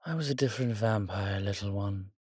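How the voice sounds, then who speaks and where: A man's voice: on the low side, a little fast, a little mellow, breathy edge, no room sound, clean studio sound. A man playing a suave, weary vampire with courtly, fond phrasing in an intimate roleplay audio drama. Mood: rueful. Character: weary, suave male vampire, protective and darkly charming.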